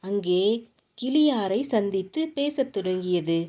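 A woman's voice: mid-range voice, animated, takes it slowly, crowd noise. A woman telling a children's story as its narrator. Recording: dull lo-fi audio.

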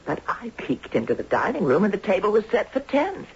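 An actress playing a genteel, anxious old lady in a 1970s radio drama, speaking politely and hesitantly in a breathy, husky voice. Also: mid-range voice, huge pitch swings, fairly quick, slight background noise. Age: older. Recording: dull 1970s radio recording.

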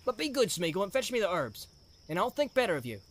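A man's voice: high-pitched, very animated, quick pace, fairly bright tone, pitched above the performer's own voice, exaggerated voice. A man voicing a plain-spoken, stubborn young hobbit servant in an audiobook. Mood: coaxing, exasperated. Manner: folksy, scolding, practical. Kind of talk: dramatised audiobook character voice. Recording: clear outdoor ambience noise behind.

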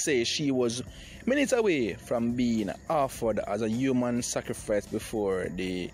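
A man's voice: medium pitch, fairly bright tone. A man with a Jamaican accent who reads news reports and then comments on them in dramatic patois, often calling out to his listeners as "people".

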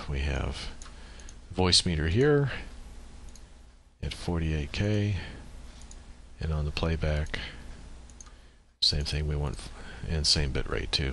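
Low-pitched man explaining software. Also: a little hiss or hum. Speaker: software tutorial presenter. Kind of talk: step-by-step screencast tutorial narration. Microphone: USB microphone.

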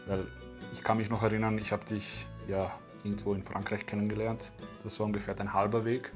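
Young guy doing a chill interview, low voice, pretty monotone, thinking out loud. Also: a little fast, music in the background. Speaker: interviewer, vlog host. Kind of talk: casual conversational interview. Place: small wood-panelled room indoors, at a table.

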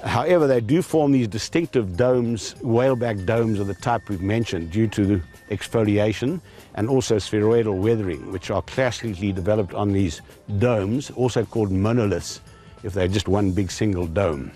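A man's voice: fairly low pitch, normal speed, dark tone, soft background music. Older male geologist, technical and precise. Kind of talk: explanatory field talk. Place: outdoors in dry bush.

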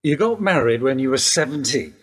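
Actor as a gentle male detective sergeant, husky, reverberant room. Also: mid-range voice, animated, a little fast.

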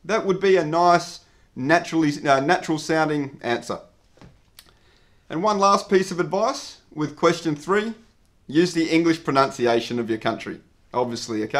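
A man, explaining an English exam lesson to camera at a clear, measured pace. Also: on the high side. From Australia. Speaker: English teacher. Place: indoor studio with dark backdrop.

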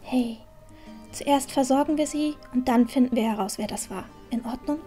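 Woman playing a young village girl adventurer, high voice, calm and matter of fact, music underneath. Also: moderate pace, lightly acted. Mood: calm, concerned. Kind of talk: audio drama acting.

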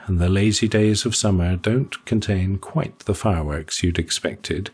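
A man's voice: sits low, darkish tone, husky. A man narrating a scripted short story in a wry storytelling manner. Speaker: audiobook narrator.

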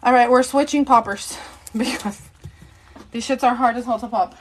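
Chatty woman, higher voice. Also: moderate pace. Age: 30s. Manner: chatty, thanks viewers, mixes in Spanish. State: tired, a bit out of breath. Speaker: live-stream host. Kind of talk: casual live-stream chat.

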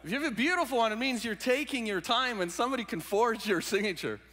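A man's voice: very high voice, fast talker. A man, preaching a sermon with jokes and stories. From South Africa. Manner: jokey, animated storytelling. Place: large church sanctuary. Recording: hall reverb in a sanctuary.

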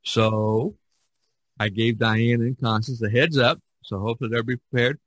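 Husky American man hosting a podcast. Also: medium pitch, steady tone, normal speed, fairly bright tone, poor audio. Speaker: podcast host.

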